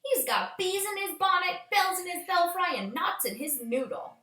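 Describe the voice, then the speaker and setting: A woman's voice: extremely high pitch, moderate pace, bright, forceful tone, pitched above the performer's own voice. A woman voicing fussy, gossipy, scandalized neighbors in a children's storybook, exclaiming with indignation. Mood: mocking. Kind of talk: children's storybook read-aloud. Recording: echoey room.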